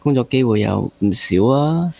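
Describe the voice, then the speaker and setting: A man's voice: medium pitch, lively intonation, normal speed, vocal fry, darkish tone, dry recording. A man with a Hong Kong accent hosting a casual interview, rephrasing and repeating himself as he thinks aloud. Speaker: interview host.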